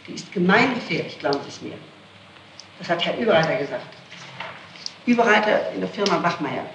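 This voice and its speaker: A woman's voice: fairly low pitch, animated, a little fast, vocal fry, muffled recording. A middle-aged woman answering questions in a recorded interrogation, emphatic, indignant and defensive, on an old tape. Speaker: accused being questioned. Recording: old echoey, noisy tape, distant mic.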